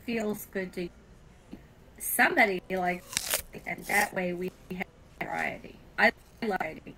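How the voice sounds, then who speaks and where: A woman's voice: mid-range voice, vocal fry, a bit bright, slight background noise, poor audio. A woman from California with an American accent, chatting informally and chuckling as she gives a tour of a world she built. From California, USA. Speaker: virtual world builder giving a tour. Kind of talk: casual tour chat.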